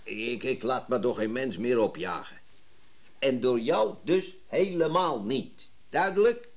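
A man's voice: medium pitch, animated, a little slow. An actor playing a grumpy, stubborn older tradesman in a Dutch radio drama, blunt and sardonic. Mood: indignant.

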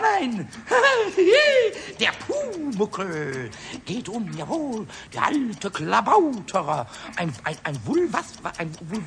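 A man voicing a cheeky, hot-tempered, childlike little kobold in a children's radio play, squeaky, stuttering and repeating words. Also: heavily acted. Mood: gleeful scheming. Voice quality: shrill, squeaky. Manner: stuttering excited outbursts, repeats words, cackles. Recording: noisy old radio play recording.

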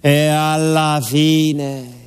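A man playing a rambling, animated villager with a southern Italian accent, confiding his story colloquially in a theatrical radio monologue. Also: fairly high pitch, extremely slow, clean tone. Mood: agitated. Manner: colloquial, confiding, dialect-laced storytelling to a listener.

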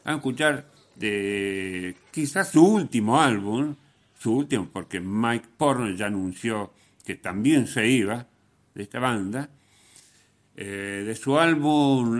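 A man with an Argentine accent hosting a radio show, talking in a blunt, informal, slangy way between opinion and rock introductions. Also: fairly low pitch. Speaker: radio host.

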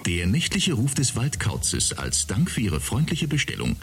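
A man's voice: fairly low pitch, huge pitch swings, normal speed, noisy background. An actor playing a polite male bar servant who recites an announcement in a radio play. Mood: courteous.

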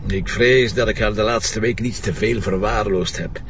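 An actor with a Flemish Belgian accent playing a shrewd, teasing police commissioner in a radio drama, wry and colloquial in manner. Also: male voice, on the low side, moderate pace, distant mic, highs cut off, a little hiss or hum, lightly acted. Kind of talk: naturalistic radio drama dialogue.

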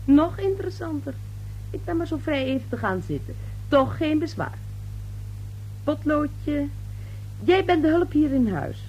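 Brash, high-voiced young woman reporter. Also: very animated, a little slow, long pauses, a little mellow, highs cut off. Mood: brash, breezy. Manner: glib, insistent, patronizing. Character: brash, pushy, slippery young female newspaper reporter. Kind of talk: radio play acting. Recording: noisy old radio drama recording.

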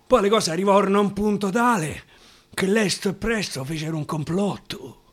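A man with a Roman accent, an actor reciting a dialect verse story in an expressive, dramatic radio-theatre style. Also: high-pitched, normal speed. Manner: expressive, dramatic, swinging from declaiming to hushed asides. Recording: guitar music under the voice. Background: acoustic guitar.

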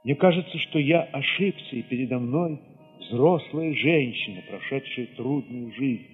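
A man with a husky voice reads a literary first-person story theatrically, moving between hushed passages and sudden swells. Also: mid-range voice, measured pace, faint music behind. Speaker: narrator reading an audio play. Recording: reverberant, slightly dull sound.

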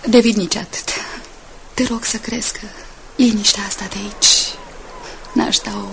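An actress playing a devoted, modest young wife in a radio drama, gentle and eager to please. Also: female voice, mid-range voice, steady tone, creaky, close to an everyday voice. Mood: sincere, wistful. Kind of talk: Romanian radio drama acting. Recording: noisy 1980s radio drama tape.

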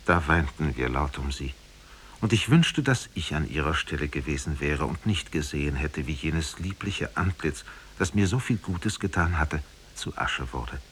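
Grief-stricken storyteller, very low voice, solemn, declamatory, mournful, old radio fairy-tale play, hissy tape. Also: male voice, expressive, normal speed, fairly bright tone. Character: grieving man who never laughs again, mournful. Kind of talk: 1950s German radio drama, literary fairy-tale narration.

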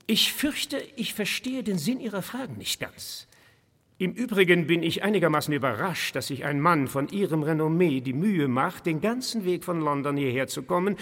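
An actor playing a haughty English lord in a radio play, formally polite and condescending. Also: male voice, fairly high pitch, a bit bright. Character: haughty, indignant English lord, stiffly courteous. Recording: long reverberant hall echo.

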